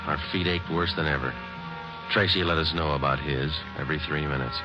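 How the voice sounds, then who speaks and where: An actor playing a dogged, weary police detective who narrates his own case in a flat, clipped deadpan, in a 1950s radio drama. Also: rumbling low, a little fast, music in the background. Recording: dull old radio recording.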